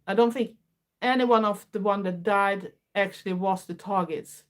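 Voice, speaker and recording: A Swedish woman in her fifties with a Swedish accent, chatting earnestly to her viewers in English with small grammar slips. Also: mid-range voice. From Sweden. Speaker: livestream host. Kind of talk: casual chat.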